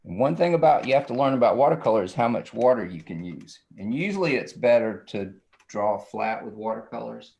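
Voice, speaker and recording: A man's voice: mid-range voice, lively intonation, fairly quick, vocal fry, few pauses, darkish tone. A middle-aged man giving a casual, rambling drawing demonstration for children, often checking in with the viewer.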